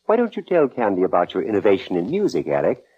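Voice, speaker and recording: An actor playing a fussy, effusive gourmet friend in 1940s radio drama style, with an arch, flowery manner. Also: male voice, lowish voice, huge pitch swings, fast, warm tone, breathy edge, heavily acted. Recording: dull vintage radio recording.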